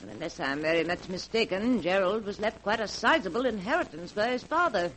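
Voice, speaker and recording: A shrewd, cool old aunt, played by a woman in a 1940s radio play, weighing every word with dry suspicion. Her pitch sits at a middling level, and faint hiss runs beneath her voice. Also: animated, brisk, natural voice, poor audio. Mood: probing. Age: older. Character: shrewd wealthy elderly aunt, cool and probing.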